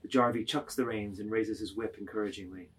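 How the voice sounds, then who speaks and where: A man with a low voice serves as narrator in a group reading of a literary work. He delivers stage directions as scripted narration. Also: fairly even intonation, a little fast, husky.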